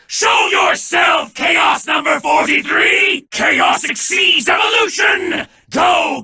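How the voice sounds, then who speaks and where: A manic, treacherous anime game villain with a very high, piercingly sharp voice. He taunts and gloats in hammy, theatrical fashion, often shouting his lines. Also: vocal fry, far from the mic, full character voice. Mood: grandiose, commanding. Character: manic, gloating, treacherous alien villain who feigns cheerfulness.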